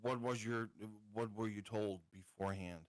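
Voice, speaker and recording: Male tabletop gamer, lowish voice, dry hesitant casual talk, close dry sound. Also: steady tone, moderate pace, no echo. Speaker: tabletop roleplaying game player.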